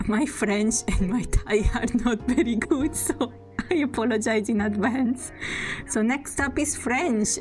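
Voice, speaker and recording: Italian-accented young woman streamer, self-conscious. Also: medium pitch. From Italy. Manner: self-conscious, apologetic, laughs often. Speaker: VTuber streamer. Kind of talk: casual live-stream self-introduction.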